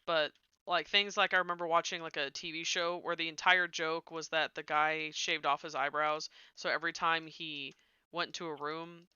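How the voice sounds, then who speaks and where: A woman's voice: sits low, level tone, normal speed, bright, forceful tone, smooth, no echo, dull audio. A woman streamer chatting casually while she builds in a game, rambling, trailing off and muttering to herself.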